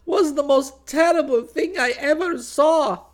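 A man voicing a dramatic, easily horrified young alien woman in a shrill manner for a storybook. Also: unhurried. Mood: shaken. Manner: shrill, melodramatic.

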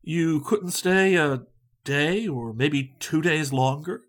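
A man playing a solemn, deliberate gentleman. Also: fairly high pitch. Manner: slow, calm, dryly polite.

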